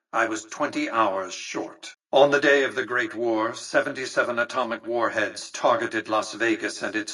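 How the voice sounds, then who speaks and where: An actor playing a calculating, condescending old tycoon in a video game, speaking in formal, precise, pompous sentences. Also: male voice, medium pitch, pushed and bright, husky, distant mic, low-quality recording. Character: imperious, calculating old male tycoon ruling a city. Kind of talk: scripted video game dialogue.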